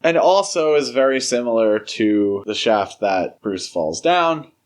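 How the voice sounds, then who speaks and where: A male podcast co-host with a medium-pitched voice gives casual commentary. He talks in an analytical but wry way, dropping in asides as part of a relaxed discussion. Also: unhurried.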